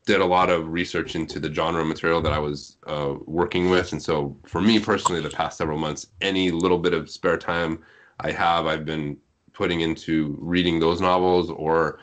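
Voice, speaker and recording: Very deep-voiced man chatting casually. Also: steady tone, normal speed, fairly bright tone. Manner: rambling, tangential, self-deprecating talker. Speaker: translator and author, podcast guest. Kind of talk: casual podcast chat.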